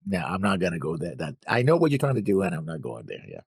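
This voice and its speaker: A man co-hosting a reaction show in casual chat, halting and often trailing off. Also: sits low, wildly swooping pitch, creaky, husky, no echo, clean studio sound. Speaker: co-host of anime reaction show.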